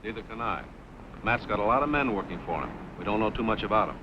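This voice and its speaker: Steady cowboy pilot, played by a man, muffled vintage recording. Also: fairly low pitch, fast, noisy background, close to an everyday voice. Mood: calm, purposeful. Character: steady, sharp-minded cowboy pilot and uncle. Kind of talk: old-time western radio drama acting. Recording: muffled vintage radio recording, loud music.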